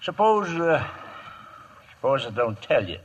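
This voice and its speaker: A man's voice: medium pitch, sing-song, measured pace. An actor playing an insolent, swaggering gunman of the Old West in a vintage radio drama. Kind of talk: 1950s radio drama acting. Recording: dull old radio recording.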